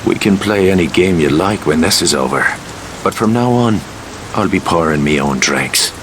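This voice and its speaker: An actor playing a cocky, hard-bitten Irish saboteur hero in a video game cutscene, wry and flippant. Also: male voice, low-pitched, animated, moderate pace, noisy background. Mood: guarded, dry. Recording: music behind the dialogue.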